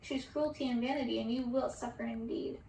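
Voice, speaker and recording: A cold, angry enchantress from a storybook, played by a woman. She speaks high and solemnly, with menacing command, from a roomy space with a little hiss. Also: steady tone, brisk, vocal fry, mellow. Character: stern, cold, angry enchantress laying a curse. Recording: distant roomy mic with background hiss.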